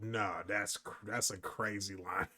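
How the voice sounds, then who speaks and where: A young man hosting a reaction video, giving excitable, slangy commentary and repeating his phrases. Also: lowish voice, unhurried.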